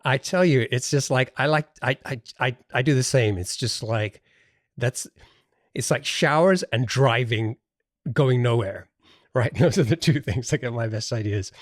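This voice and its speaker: A man's voice: medium pitch, a little slow, no echo, clean studio sound. A man hosting a casual interview podcast, thinking aloud with hesitations and repeated words.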